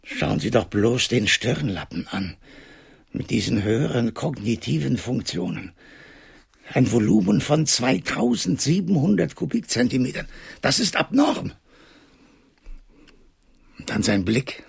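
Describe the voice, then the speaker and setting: An actor playing a fearful, resigned doctor in his seventies in a radio drama, weary and apologetic, with a husky, creaky voice. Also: mid-range voice, very animated, unhurried, pauses often, no echo, studio quality, heavily acted. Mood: awed, intense. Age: 70s. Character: fearful, resigned seventy-year-old male animal doctor.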